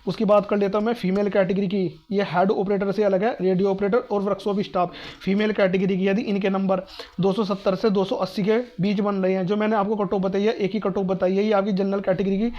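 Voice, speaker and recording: Male online exam adviser, North Indian accent, even delivery, repetitive and reassuring, slightly muffled lo-fi recording. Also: sits high, a little fast. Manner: repetitive, reassuring, asks and answers own questions. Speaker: exam-advice video host. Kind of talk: informal explanatory talk to students.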